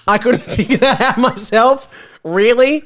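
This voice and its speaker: A man's voice: very high-pitched, a little slow, fairly bright tone, pitched above the performer's own voice. A man voicing a sarcastic, irritable boy from a fan-fiction story, with exaggerated emphasis.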